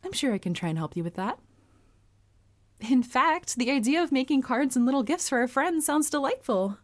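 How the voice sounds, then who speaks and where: A woman playing a polite, earnest, bashful young anime heroine with a crush, speaking formally and gently gushing in a roleplay audio. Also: mid-range voice, close to an everyday voice. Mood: warm, curious. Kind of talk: anime character roleplay audio, addressed to listener.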